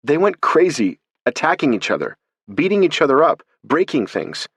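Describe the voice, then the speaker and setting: A man's voice: mid-range voice, lively intonation, moderate pace, a bit bright, no echo. A man narrating a story voices a frightened, injured young woman who pleads urgently and breathlessly. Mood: distraught.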